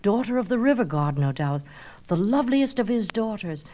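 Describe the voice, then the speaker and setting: A woman's voice: mid-range voice, big pitch swings, moderate pace, warm tone, voice pushed up, close to an everyday voice. A woman voicing a vain, scornful young man of Greek myth in an impulsive, emphatic way, as in a storybook reading. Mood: vain, dreamy. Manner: emphatic, exclamatory.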